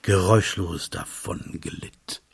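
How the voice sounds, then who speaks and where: A man's voice: low voice, steady tone, takes it slowly, creaky, husky. A man giving scripted literary narration as the narrator of a radio play.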